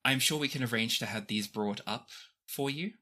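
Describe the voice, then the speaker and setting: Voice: mid-range voice, moderate pace, pushed and bright. A game master voicing a formal, obliging escort in a tabletop game, polite and matter-of-fact. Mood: courteous.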